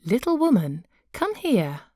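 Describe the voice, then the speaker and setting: A woman voicing a wise, gentle storybook queen, speaking softly in a measured, confiding manner. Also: fairly high pitch, wildly swooping pitch, no echo, studio quality, pitched above the performer's own voice, full character voice. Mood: softly coaxing. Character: wise, gentle, beautiful queen, calm and conspiratorial.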